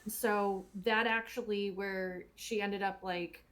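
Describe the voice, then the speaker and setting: Woman talking things through, earnest and kinda life-coachy, normal mid pitch, reflective casual commentary. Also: steady tone, slow, a bit bright. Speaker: audio drama cast or crew member giving commentary.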